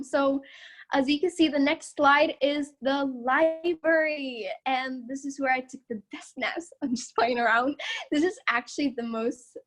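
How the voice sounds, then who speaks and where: A young woman giving a casual tour of her college from slides, chatty and upbeat, laughing often, with a loud voice. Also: high-pitched. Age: young adult. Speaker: student body president giving a campus tour. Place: at home.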